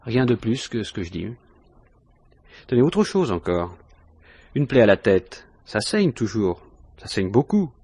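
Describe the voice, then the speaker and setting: A coolly insistent police inspector in an old French radio mystery. His voice is husky and moves up and down a great deal as he probes with dry, suspicious understatement. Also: lowish voice, very animated, measured pace, long pauses, darkish tone, dry recording, heavily acted.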